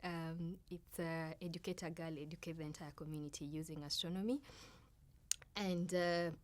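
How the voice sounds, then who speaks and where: A woman with a Kenyan accent explaining her work in an interview, halting and deliberate with pauses. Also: fairly low pitch, steady tone. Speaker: astronomer and outreach project leader. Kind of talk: interview talk about own work.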